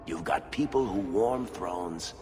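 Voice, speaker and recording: Low-voiced, roguish smooth-talking dwarf. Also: male voice, lively intonation, takes it slowly. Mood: needling, wry. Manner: wry, persuasive, teasing. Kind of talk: video game dialogue acting. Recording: game music behind the voice.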